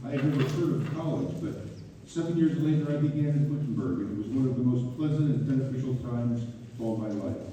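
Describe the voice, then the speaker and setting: A man in a theater read-through playing an earnest, grateful gentleman voicing his heartfelt letter in a measured, formal way. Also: medium pitch, lightly acted. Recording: distant, reverberant hall, faint noise.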